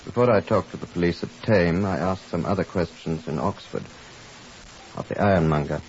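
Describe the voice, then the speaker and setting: A composed police superintendent with a low voice. He is measured, clipped and official, in the manner of 1950s radio drama, on a dull, faintly hissy recording. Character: composed, authoritative Scotland Yard police superintendent.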